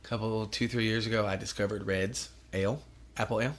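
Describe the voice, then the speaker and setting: Low-voiced profane joking man in his 40s. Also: steady tone, quick pace. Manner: profane, joking, self-deprecating. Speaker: podcast host. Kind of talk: casual chat.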